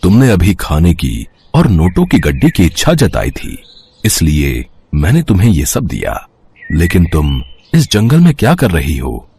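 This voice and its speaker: Man voicing a deep, swooping magical tree spirit. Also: huge pitch swings, a little slow, a little mellow, slightly rough, exaggerated voice. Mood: kindly, reassuring. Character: kindly magical old tree spirit, fatherly and generous. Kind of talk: Hindi cartoon story acting.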